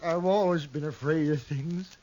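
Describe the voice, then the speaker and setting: An actor playing a timid, frightened young outlaw who stammers and pleads, in a 1950s radio drama. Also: male voice, fairly high pitch, measured pace, darkish tone, close to an everyday voice, low-quality recording. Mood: shaken. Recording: old radio broadcast with hiss.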